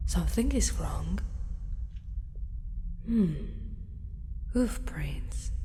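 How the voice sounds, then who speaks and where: A woman's voice: mid-range voice, warm tone, a little hiss or hum. A woman playing a devoted, fierce dragon knight in a dramatic ASMR roleplay, speaking in formal, archaic phrases with theatrical weight. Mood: brooding, suspicious.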